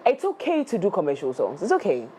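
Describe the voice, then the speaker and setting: A woman co-hosting a radio show with a Ghanaian English accent, talking in a blunt, teasing, animated way. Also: fairly low pitch, fast talker, a little hiss or hum. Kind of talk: casual chat. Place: radio studio.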